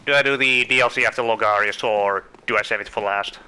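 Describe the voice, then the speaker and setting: Bright-voiced Finnish-accented man streaming. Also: lowish voice, steady tone, fast talker, clean tone. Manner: chatty, thinks aloud, asks viewers questions, self-correcting. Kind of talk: casual live gameplay commentary.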